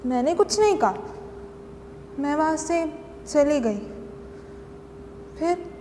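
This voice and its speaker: High-pitched, unhinged battered wife in reverb. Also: female voice, expressive, mellow. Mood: meek, pleading. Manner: halting, repeats phrases, pleading. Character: battered wife turned unhinged killer, pleading, confessing to an official. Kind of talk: dramatic monologue, psychotic confession. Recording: long echoing hall reverb, faint noise.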